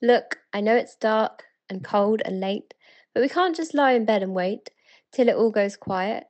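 A British woman playing an eager, wonder-struck townswoman in a dramatic verse reading, earnest and excited. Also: mid-range voice, a little slow, muffled recording, natural voice. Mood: excited, insistent.

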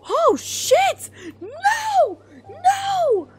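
A woman streamer doing an animated live reaction, exclaiming excitably and swearing freely. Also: extremely high pitch, drawn-out words. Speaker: streamer reacting to and reading a manga aloud. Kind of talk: animated live reaction and dramatic read-aloud. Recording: music playing behind voice. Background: music.

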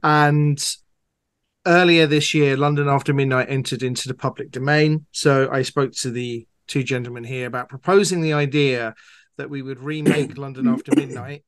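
A man's voice: mid-range voice, fairly even intonation, moderate pace. A man speaking as a guest in an interview, explaining an audio production in a conversational way.

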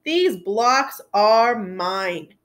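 A woman's voice: medium pitch, animated, very slow, a bit bright. Woman acting out greedy, grumbling dinosaur bully. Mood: possessive. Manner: roaring, grumbling, wailing. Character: greedy, grabby young male dinosaur bully, loud and possessive.

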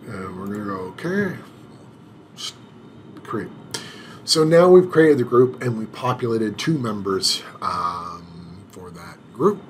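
A man with a fairly low voice talks slowly through a computer walkthrough, stopping to hum and restart as he clicks along. A faint hiss sits under his voice at the desk mic. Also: expressive. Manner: unhurried, hesitant, many filler sounds and restarts. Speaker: IT administrator demonstrating software setup. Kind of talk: screen-recorded tutorial walkthrough.

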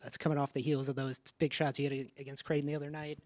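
A man's voice: on the high side, level tone, fast, no echo. A man asking conversational questions as a reporter at a postgame press conference.

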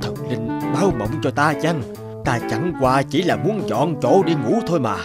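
A man's voice: mid-range voice, expressive, moderate pace, music in the background, natural voice. A man playing a grumpy, greedy, selfish beggar in a cartoon folk tale, muttering to himself with hesitant grunts. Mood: surprised, pleased. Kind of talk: cartoon fairy-tale voice acting.

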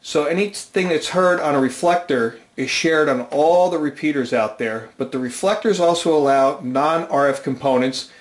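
An American man presenting an informal technical explanation at a whiteboard indoors, methodically going step by step. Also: mid-range voice. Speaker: amateur radio channel host, presenter. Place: small indoor room at a whiteboard. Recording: roomy, reverberant indoor sound.

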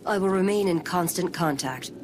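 A woman playing a composed, formal young female Vulcan scientist in a sci-fi game, speaking in a measured, precise way. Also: on the low side, background noise, lightly acted. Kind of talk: scripted sci-fi game acting. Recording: music loud under the voice.

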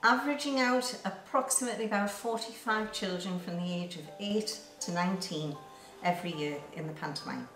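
A woman's voice: on the low side, fairly quick, over music. A woman in her seventies giving interview testimony as a youth club leader. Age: 70s. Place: small plain indoor room. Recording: roomy, slightly distant microphone.

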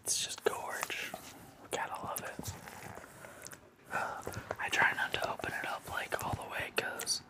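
A man's voice: a little slow, long pauses. A man in his thirties whispering casual, enthusiastic fan chat for ASMR, close to the mic in a room at home. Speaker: ASMR video creator showing a collection. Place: small living room indoors.

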